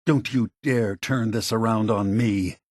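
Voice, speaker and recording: A man playing a weary, grave synth leader in a video game, speaking deliberately and solemnly with a husky voice. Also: lowish voice, fairly even intonation, dry recording, clean studio sound. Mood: bitter anger. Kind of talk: scripted video game voice acting.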